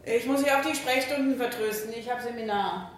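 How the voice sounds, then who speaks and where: An actress playing a brusque, guarded, ironic university academic in a radio drama. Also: female voice, fairly high pitch, fairly quick, very noisy, lightly acted. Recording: echoey room.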